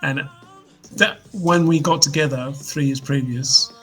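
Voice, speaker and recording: Husky man telling stories. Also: a little high, unhurried, fairly bright tone, faint music behind. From United Kingdom. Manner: reflective storyteller, hesitant restarts. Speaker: musician guest. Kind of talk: casual interview chat.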